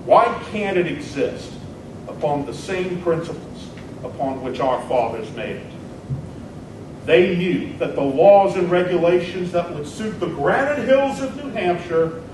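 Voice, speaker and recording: Echoey, distant man acting as combative old-time orator. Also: expressive. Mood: emphatic, combative. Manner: oratorical, emphatic, deliberate phrasing. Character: combative 19th-century American senator stumping against his rival. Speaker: performer in a historical dramatic reading. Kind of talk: dramatic reading of a 19th-century political debate speech. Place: large echoey indoor hall, recorded from afar. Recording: very echoey hall, distant mic, rumbling noise.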